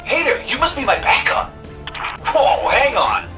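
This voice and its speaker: A young tech-whiz sidekick for a video game, exuberant and slangy. The voice is bright and very lively, playful and cheeky, with faint music behind it. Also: mid-range voice, measured pace, gravelly, exaggerated voice. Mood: upbeat. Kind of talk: video game character dialogue.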